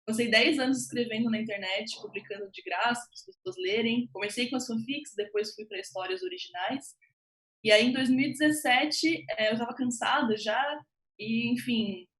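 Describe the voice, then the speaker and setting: A woman with a Brazilian accent and a fairly high voice talks as a guest writer on a panel. She recounts her career conversationally, with an animated, informal manner that slips into quoted remarks. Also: moderate pace, rough recording. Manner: animated, informal, self-quoting storytelling. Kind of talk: conversational personal account on a panel.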